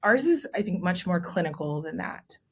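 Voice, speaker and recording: A woman's voice: on the low side, sing-song, normal speed, breathy edge. A young adult woman, raised in Texas, giving articulate, explanatory interview answers as a guest, often adding "right?". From born in Nigeria, raised in Texas. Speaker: guest, commissioning editor.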